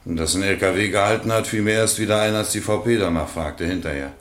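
An actor playing an evasive working-class witness in a radio courtroom drama, offhand, terse and defensive. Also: male voice, sits low, quick pace, lightly acted. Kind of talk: radio play acting. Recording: roomy courtroom echo.

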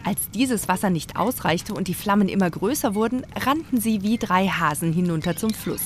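A woman's voice: medium pitch, expressive, normal speed, faint music behind. A woman narrating a children's radio play in a scripted storytelling style.

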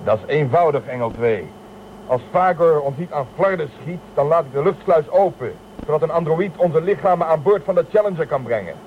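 A man's voice: fairly high pitch, expressive, fairly quick, lightly acted. A man playing a weary, sardonic spaceship commander in a radio drama, dry and resigned. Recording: dull lo-fi band, faint hiss.

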